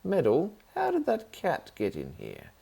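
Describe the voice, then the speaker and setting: Man putting on a shrill, scolding fussy aunt. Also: wildly swooping pitch, unhurried, creaky, dark tone, breathy edge, full character voice. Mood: outraged scolding. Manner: exclaiming, indignant, nagging. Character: fussy, scolding middle-aged aunt, easily outraged.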